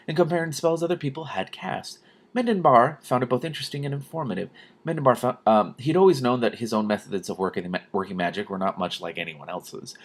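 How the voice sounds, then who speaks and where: A man reading a novel aloud expressively as host, dropping in casual commentary of his own. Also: medium pitch.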